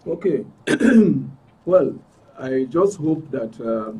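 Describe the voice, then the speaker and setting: A man with a Nigerian accent speaking as a talk show guest with deliberate, hesitant phrasing. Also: a little high, very animated, a little mellow, husky. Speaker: studio guest. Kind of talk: interview commentary. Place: radio and TV studio. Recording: dull distant mic, background noise.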